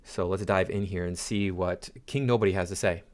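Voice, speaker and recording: A man's voice: sits low, fairly even intonation, fairly quick, a little mellow. A man giving casual, opinionated reaction commentary to viewers in a blunt, direct way. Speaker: commentary YouTuber.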